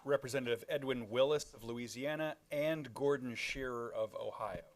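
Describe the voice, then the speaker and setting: A man's voice: mid-range voice, normal speed. A man casually introducing his roles as a performer before a dramatic reading.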